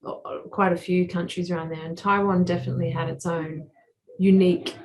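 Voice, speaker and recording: A middle-aged Australian woman interviewing a guest, chatty and upbeat, with quick interjections. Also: on the low side, flat delivery, background noise. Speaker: interviewer, festival artistic director. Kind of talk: casual interview chat.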